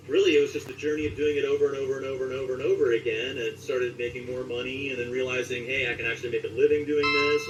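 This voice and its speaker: Man in echoey, noisy, distant recording. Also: medium pitch. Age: late 40s. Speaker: voice actor, interview guest. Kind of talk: conversational interview storytelling. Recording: roomy echo, distant mic, clear background noise.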